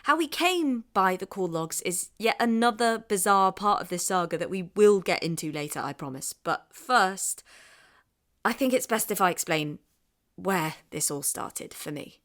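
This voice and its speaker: An actress playing a curious young woman podcaster from the English Midlands, narrating in a measured, wry way and asking questions. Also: medium pitch, lively intonation, fairly bright tone. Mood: calm. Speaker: investigative podcast host. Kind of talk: scripted podcast narration and street interviews.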